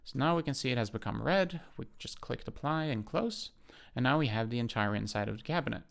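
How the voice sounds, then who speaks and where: A man giving an unscripted software walkthrough, explaining step by step in a matter-of-fact way and pausing to think aloud. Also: mid-range voice, animated, creaky. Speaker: software tutorial presenter. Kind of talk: unscripted screen-share walkthrough.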